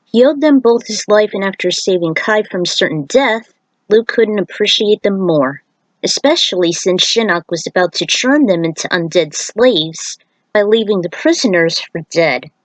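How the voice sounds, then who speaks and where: A woman's voice: medium pitch, animated, moderate pace, no echo, rough recording. Dry-sounding woman narrating a story.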